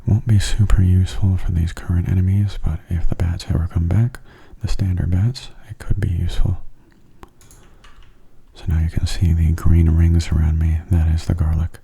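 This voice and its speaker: A man giving soft-spoken ASMR commentary over a game in a hushed, breathy murmur, calm and unhurried, close to the microphone. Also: low voice. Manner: calm, unhurried, musing aloud. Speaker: gaming ASMR host.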